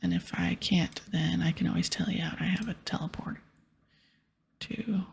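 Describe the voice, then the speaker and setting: A woman giving casual, hushed ASMR commentary over a video game in a breathy whisper. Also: lowish voice, steady tone, normal speed. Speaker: host of a gaming ASMR series.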